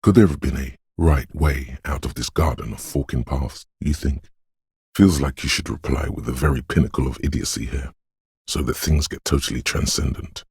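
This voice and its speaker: A man voicing an eerie, brooding inner voice of intuition and dread in a game, narrating in the second person with a deliberate, portentous, theatrical delivery. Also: very deep voice, darkish tone, slightly rough, dry recording, studio quality, exaggerated voice. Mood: ominous, mystical.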